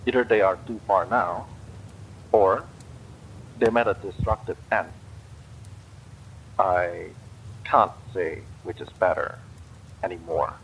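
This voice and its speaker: A man reading a horror story aloud, in a slow and expressive way. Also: low voice, a little hiss or hum, rough recording. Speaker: story reader.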